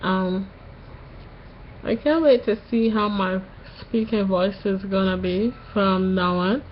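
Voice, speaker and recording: A young woman vlogging just after tonsil surgery, a little nasal, talking slowly through a sore throat with many pauses. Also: mid-range voice, highs cut off, slight background noise. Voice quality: slightly nasal. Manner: slow, halting, pauses often. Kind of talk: casual vlog to camera.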